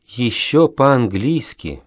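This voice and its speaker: Tsubasa, a polite young man in a course dialogue. He speaks slowly and carefully, letting his pitch rise and fall a lot. The sound is dry and close. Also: sing-song, no echo. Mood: plain. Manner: carefully enunciated, deliberate. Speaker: dialogue reader in a language course. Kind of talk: slow, clear textbook dialogue reading.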